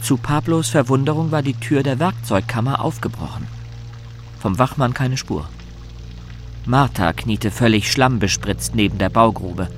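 An actor as the composed, observant narrator of a radio drama, reading scripted prose. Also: male voice, medium pitch, unhurried, soft background music, natural voice. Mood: composed, grave. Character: omniscient storyteller, composed and observant.

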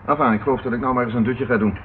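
Actor as a dry police inspector, muffled recording. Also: male voice, mid-range voice, steady tone, lightly acted. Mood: musing. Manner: dry, sardonic, measured. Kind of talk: Dutch radio play acting. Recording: muffled, hissy old radio recording.

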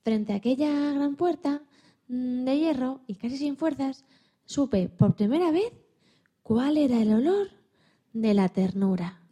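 Woman as a young male dog, high soft-edged voice, mellow, tender, timid dreamer, expressive children's radio storytelling. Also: unhurried. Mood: wistful storytelling. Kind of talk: children's story radio play, first-person narration.

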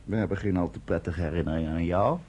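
An actor playing a smug, suspicious British army major in a Dutch radio drama, suave and condescending in an official way. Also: male voice, low voice, quick pace, darkish tone, breathy edge. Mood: suave, prying.

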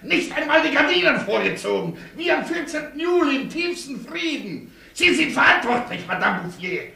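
An actor in a radio play playing an outraged, officious air-raid warden who scolds in a hectoring bark. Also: male voice, very high voice, sing-song, normal speed, vocal fry, pushed and bright, full character voice. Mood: outraged, threatening. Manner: barking, hectoring. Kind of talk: radio drama acting. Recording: echoey room, far from mic.

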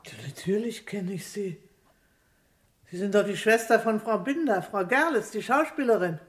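An actress playing an evasive, nervous housekeeper in a radio crime drama, with a hesitant, flustered manner. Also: female voice, mid-range voice, normal speed, natural voice. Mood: guarded, evasive.

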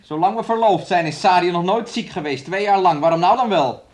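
A domineering young man played by an actor in a radio drama. His voice sits a little high, and he talks in a taunting, moody, resentful way, as if always needling his partner. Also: brisk, a little mellow, natural voice. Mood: irritated. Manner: speaks of himself and her in third person, taunting. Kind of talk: radio play acting.

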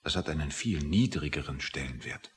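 A man's voice: extremely low pitch, lively intonation, moderate pace, husky, full character voice. A man acting a skeptical, status-minded official in a radio play, speaking in a dry, condescending, musing way. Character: skeptical, status-minded male official waiting outside a meeting.

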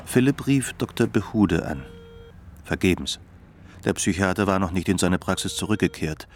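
A man's voice: low voice, animated, moderate pace, slight background noise. A man narrating a literary radio play in scripted, novelistic prose.

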